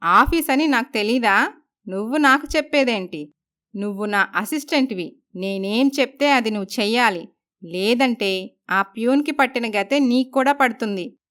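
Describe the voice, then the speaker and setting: A woman's voice: on the high side, expressive, normal speed, fairly bright tone. A woman voicing an arrogant, commanding young businesswoman who scolds people in a moral story. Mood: threatening. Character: arrogant, bossy young businesswoman who bullies her husband. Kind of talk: dramatised moral story voice acting.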